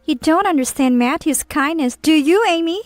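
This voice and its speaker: A woman's voice: high voice, normal speed, no echo, faint music behind, voice pushed up, lightly acted. A woman voicing a naive, pushy young mistress in a narrated story, pleading and insistent. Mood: smug, pushy.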